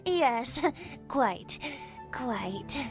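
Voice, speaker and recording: Bright bouncy bard lad, woman voicing him, theatrical and playful, soft music behind. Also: sits high, exaggerated voice. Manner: theatrical, flowery, giggly. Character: cheerful young male wandering bard, playful. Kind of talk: video game voice acting.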